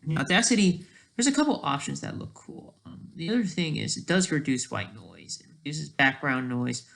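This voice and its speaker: Casual video creator, mid-range pitch with heavy vocal fry, rambles and thinks out loud. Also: animated, normal speed. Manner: rambling, thinking aloud, frequently digressing. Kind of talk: casual rambling screen-share commentary.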